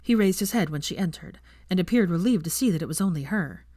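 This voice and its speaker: A woman's voice: on the low side, animated, quick pace, mellow, husky, dry recording, studio quality. A woman reading fiction aloud as a narrator, in a scripted audiobook style.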